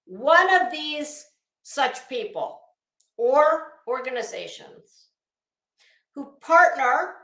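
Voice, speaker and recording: Woman livestreamer ranting at chat, really high voice, echoey room, sarcastic and blunt. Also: sing-song, very slow, pauses often, fairly bright tone. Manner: emphatic, sarcastic, challenging; blunt, coarse language. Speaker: livestream host. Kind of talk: casual livestream rant to a chat audience.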